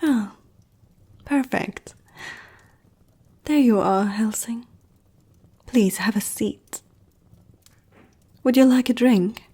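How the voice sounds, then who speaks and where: Woman playing a breathy, whispering ancient vampire noblewoman. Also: on the high side, warm tone, exaggerated voice. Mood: poised, inviting. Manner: poised, intimate, deliberate. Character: ancient powerful female vampire aristocrat, courteous and seductive. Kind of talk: ASMR roleplay, soft half-whispered acting.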